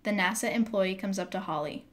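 A woman's voice: mid-range voice, brisk, fairly bright tone. A woman reading stage directions as the narrator of a scripted drama.

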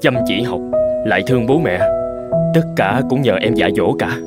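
A man's voice: on the low side, animated, moderate pace, close to an everyday voice. An actor voicing a kind, hardworking peasant husband and father in a cartoon, earnest and reassuring. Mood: affectionate. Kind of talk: Vietnamese cartoon voice acting. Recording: loud background music. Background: music.

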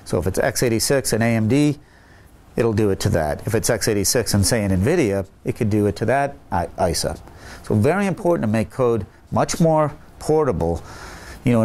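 A man's voice: on the low side, a little mellow. A middle-aged man earnestly explaining technical ideas to camera, often restarting and repeating words, on a lapel mic in a meeting room. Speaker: chip company executive.

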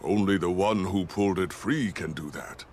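An actor playing a stern, grave older knight in a video game, speaking in a formal, measured way. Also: male voice, deep voice, darkish tone, slight background noise, close to an everyday voice. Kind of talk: dubbed game dialogue.

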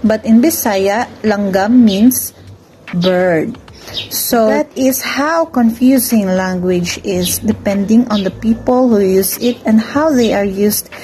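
Young woman, from the Philippines, student report, slow careful reading, slight background noise. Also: mid-range voice, warm tone, rough recording. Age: young adult. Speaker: student presenter. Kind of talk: read class report.

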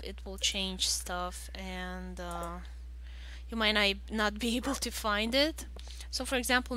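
A woman's voice: medium pitch, pushed and bright, slight background noise. A woman giving casual game walkthrough commentary, thinking aloud in a hesitant way. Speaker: gaming video host.

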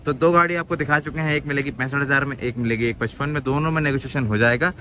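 A man's voice: medium pitch, steady tone, quick pace, bright, forceful tone. A young man hosting a casual vlog, walking a used car lot outdoors and chatting with questions and repeated "okay, okay". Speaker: car vlogger host.

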